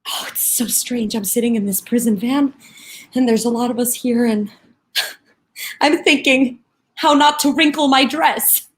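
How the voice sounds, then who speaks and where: A woman acting a dazed, fastidious election observer under arrest, speaking in a halting, bewildered way. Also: fairly high pitch, close to an everyday voice. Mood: dazed, hurting. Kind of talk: dramatic monologue.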